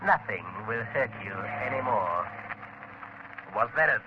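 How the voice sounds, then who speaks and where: An actor playing a cruel, gloating male villain in a 1930s radio drama, talking with slow menace. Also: mid-range voice, expressive, natural voice. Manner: slow, gloating menace turning to frantic threats. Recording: dull old radio recording with hiss.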